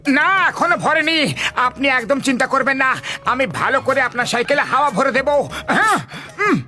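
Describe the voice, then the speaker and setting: A man playing a naive, eager and helpful bumbler in an animated cartoon dub, speaking with earnest, exclamatory emphasis. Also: extremely high pitch, moderate pace, faint music behind, heavily acted. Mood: eager, confident.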